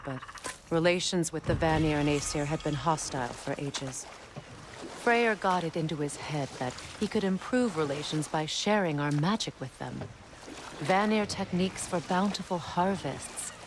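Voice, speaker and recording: A woman playing a proud, wistful Norse goddess and weary former queen in a video game, speaking in a measured, solemn way. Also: low-pitched, noisy background. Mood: somber. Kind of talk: dramatic video game acting.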